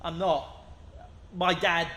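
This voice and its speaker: Earnest, bright-voiced young space recruit. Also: male voice, on the high side, level tone, slow, slight background noise. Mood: reluctant. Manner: hesitant, modest, earnest. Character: earnest, awkward young male recruit from wealthy family. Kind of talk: science fiction audio drama acting.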